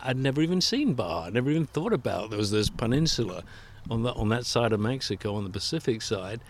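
An older man with an English accent, a guest author telling stories of his travels with self-deprecating chuckles. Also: mid-range voice. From England. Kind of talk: relaxed storytelling interview.

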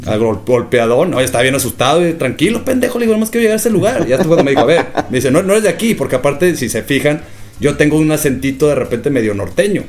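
Fast-talking slangy Mexican young man. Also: a little high, sing-song, a little mellow. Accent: Mexican, from Guadalajara. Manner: rapid, slangy, swears a lot. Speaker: podcast co-host. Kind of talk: casual podcast chat.

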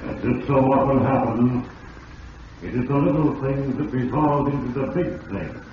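An actor playing an all-knowing narrator of fate in an old radio drama, grave, deliberate and accusing. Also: male voice, mid-range voice, lightly acted. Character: ominous all-knowing narrator addressing the wrongdoer. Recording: muffled, distant, echoing, noisy vintage radio recording.

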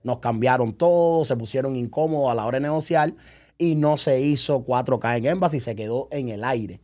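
Man, mid-range voice, podcast guest, event promoter, casual animated storyteller, acts out dialogue, checks you follow. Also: mid-range voice, brisk.

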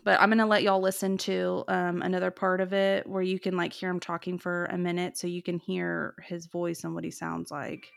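A woman hosting a podcast, telling a true ghost story in a chatty way, with many "like"s and "um"s. She has a fairly deep voice. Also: completely flat delivery, moderate pace, creaky, hardly any pauses. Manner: chatty, lots of "like" and "um". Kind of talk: casual storytelling chat.

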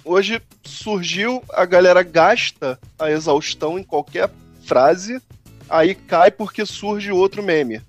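A man's voice: high-pitched, takes it slowly, faint music behind. A man with a Brazilian Portuguese accent, co-hosting a casual podcast and explaining his views with long, reflective examples. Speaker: podcast co-host.

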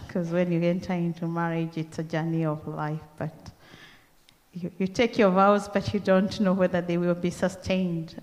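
A middle-aged woman with a Kenyan accent, preaching a church sermon in a slow, deliberate, earnest way. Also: lowish voice, darkish tone. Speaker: guest preacher. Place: large church hall. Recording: long church-hall reverb.

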